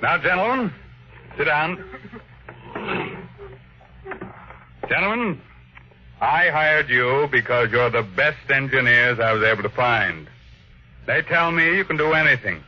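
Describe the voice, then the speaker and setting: An actor playing a cold, imperious millionaire who gives brusque orders in an old-time radio drama. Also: male voice, mid-range voice, animated, moderate pace, close to an everyday voice. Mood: commanding. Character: domineering, cold millionaire tycoon barking orders. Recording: dull vintage radio recording.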